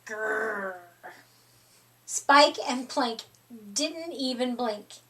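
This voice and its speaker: A woman with a high, bright voice reads a children's picture book aloud. She takes her time and narrates expressively for storytime. The microphone sits a little way off. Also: lively intonation, takes it slowly, distant mic. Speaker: storytime reader.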